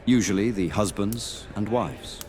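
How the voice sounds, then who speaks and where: A man playing a level-headed medieval knight who guides new recruits in a fantasy audio roleplay, measured and explanatory. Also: lowish voice, darkish tone, a little hiss or hum, natural voice. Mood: welcoming, explanatory.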